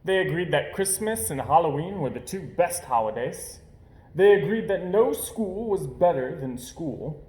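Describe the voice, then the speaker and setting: A man reads a children's picture book aloud in a lively, theatrical way. His voice sits a little high and moves up and down freely. The recording has a roomy echo. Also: a little slow. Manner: animated, dramatic emphasis. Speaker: storybook reader.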